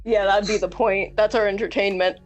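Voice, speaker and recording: A woman's voice: medium pitch, steady tone, a little fast. Blunt casual woman playing a tabletop game. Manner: blunt, colloquial, peppered with "like". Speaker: tabletop roleplay player. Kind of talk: casual improvised roleplay chat. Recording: music playing under the voice.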